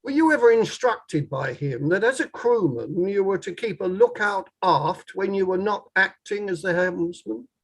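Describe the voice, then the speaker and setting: A man's voice: sits high, animated, moderate pace, hardly any pauses. A man playing a formal, methodical lawyer putting precise questions in a table read of an inquiry play. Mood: methodical, probing.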